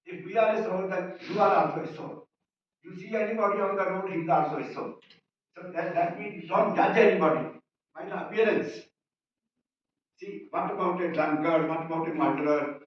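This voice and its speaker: A man's voice: high-pitched, moderate pace. A man from Singapore giving an earnest, informal testimonial to a group at a retreat, speaking in short, plain sentences. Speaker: retreat participant sharing a testimonial. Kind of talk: informal public sharing. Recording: echoey hall, distant mic, dull sound.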